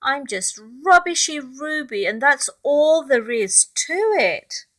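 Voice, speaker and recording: A glum little schoolgirl from a picture book, voiced by a woman reading aloud. She is sulky and dismissive, always running herself down, and her pitch moves expressively. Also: sing-song, slow, fairly bright tone, exaggerated voice. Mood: upset. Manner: sulky, dismissive, self-critical. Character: glum, self-deprecating young schoolgirl convinced she is worthless.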